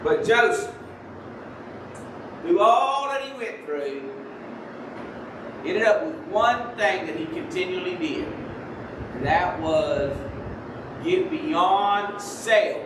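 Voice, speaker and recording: High-voiced soft-spoken preacher in echoey church. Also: male voice, sing-song, takes it slowly, pauses often. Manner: soft-spoken preaching, holds his voice down on the mic. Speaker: lay preacher. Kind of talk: sermon. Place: church sanctuary. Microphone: microphone. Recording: echoey church, distant mic, background noise.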